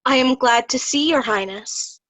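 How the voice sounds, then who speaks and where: A woman's voice: high voice, measured pace, a bit bright, rough recording. A woman playing a cool royal daughter, formally admonishing her old father in Shakespearean style. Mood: polite. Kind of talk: Shakespearean reader's theatre acting.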